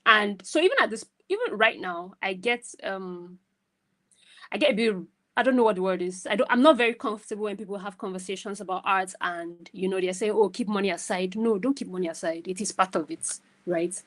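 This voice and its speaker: A woman's voice: medium pitch, fairly quick, a bit bright. A woman giving casual, conversational advice as a guest mentor on a video call, emphatic and often checking in with the listener. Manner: emphatic, often tags phrases with "right?".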